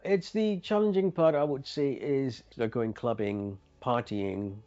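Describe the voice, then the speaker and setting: A man's voice: mid-range voice, unhurried, mellow. A man telling his own story as a former smoker in a plain, earnest, conversational way. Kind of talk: personal testimonial. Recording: muffled with faint background music. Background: faint music.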